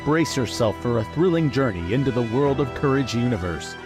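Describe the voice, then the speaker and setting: A man's voice: medium pitch, lively intonation, a little fast. A man delivering scripted promo narration in a grand, dramatic trailer style. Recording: prominent music bed under voice.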